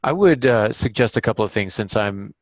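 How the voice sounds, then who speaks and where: A man hosting a podcast, giving casual writing advice in a halting way, as if thinking aloud. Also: lowish voice, lively intonation, no room sound, poor audio. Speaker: podcast host and novelist. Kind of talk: casual instructional discussion.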